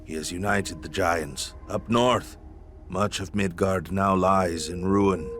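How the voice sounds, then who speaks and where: An actor playing a gruff male warrior seer from the north, blunt and grave. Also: low voice, takes it slowly, a bit bright, soft background music. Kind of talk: game cutscene acting.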